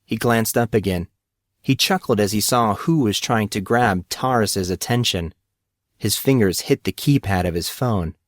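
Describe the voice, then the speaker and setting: A man's voice: on the low side, a little slow, no room sound, clean studio sound. A man reading a story aloud as scripted audiobook narration.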